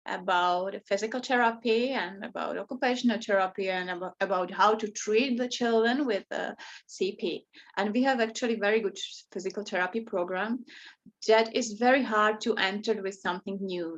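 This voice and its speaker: A woman with a Czech accent speaks at a middle pitch in non-native English. She gives an informal personal talk as a yoga teacher, leaning on key words and repeating them for emphasis. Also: highs cut off. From Czech Republic.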